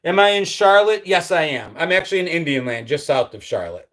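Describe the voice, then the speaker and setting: A middle-aged man from upstate New York chats casually on his live stream, reading out viewer comments and answering them. His voice sits a little high for a man, and his easygoing, nostalgic manner drifts into soft, trailing endings. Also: expressive, a little fast. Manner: nostalgic, easygoing, with frequent ums and trailing drops. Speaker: livestream host. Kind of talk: casual live-chat reminiscing.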